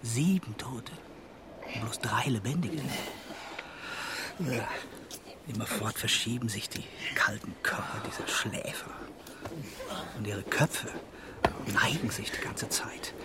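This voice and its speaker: An actor playing a nervous, furtive train traveller in a radio play, confiding grim absurdities in a hushed, breathy voice. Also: male voice, lowish voice, measured pace, lightly acted. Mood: hushed, uneasy. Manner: hushed, breathy, conspiratorial half-whisper. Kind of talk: literary radio play acting.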